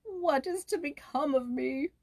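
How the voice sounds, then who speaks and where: A woman's voice: high-pitched, measured pace, pitched above the performer's own voice. A woman voicing a poor, sick peasant man from a storybook in a weak, moaning lament. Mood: despairing.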